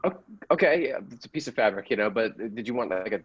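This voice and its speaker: A man playing a chatty, slightly snobbish clothing salesman in an improvised comedy scene, with halting, colloquial patter. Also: medium pitch, expressive, breathy edge, dry recording, lo-fi sound. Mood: teasing, critical. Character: chatty male cape-shop salesman, faintly snobbish about fashion.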